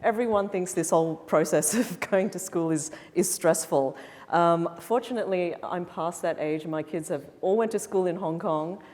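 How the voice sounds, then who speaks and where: Mellow-voiced woman moderating a panel. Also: lowish voice, normal speed. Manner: friendly, guiding questions. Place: event hall before an audience. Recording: reverberant event hall.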